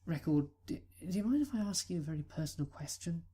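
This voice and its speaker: Brief: timid young colt-ish stallion, stumbling over words, pitched high, voice thinned and set back, put on by a male reader. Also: expressive, quick pace, dark tone, breathy edge. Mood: flustered. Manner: hesitant, stammering. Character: shy young male pony, a nervous cellist.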